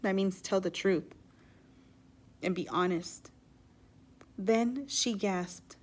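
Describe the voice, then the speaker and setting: A woman reading a children's storybook aloud expressively, pausing to explain and put questions to her listeners. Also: medium pitch.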